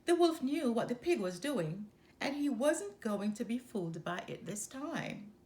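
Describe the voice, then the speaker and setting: A woman reading a children's story aloud as an expressive storyteller. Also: a little high, a little slow.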